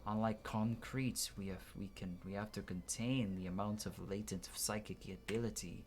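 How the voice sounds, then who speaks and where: A man's voice: fairly low pitch, fairly even intonation, moderate pace, darkish tone, slight background noise. A man doing a playthrough, reading game dialogue aloud dramatically and stumbling now and then before restarting lines. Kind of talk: gameplay commentary with dramatic read-aloud dialogue.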